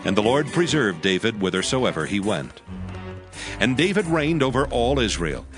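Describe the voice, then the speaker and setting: A man's voice: mid-range voice, big pitch swings, normal speed. Formal male scripture narrator over music.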